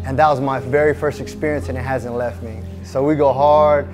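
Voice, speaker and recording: A man who owns a gym, talking casually and rough-edged about hardcore training in a documentary interview. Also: medium pitch, fairly even intonation, fairly quick. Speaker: gym owner. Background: music.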